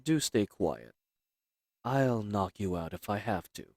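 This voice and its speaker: A man playing a cool, confident, flirtatious assassin in a roleplay audio drama, with a smug, teasing, measured delivery. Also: lowish voice, sing-song, darkish tone, slight background noise, pitched below the performer's own voice, exaggerated voice. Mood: menacing, smug.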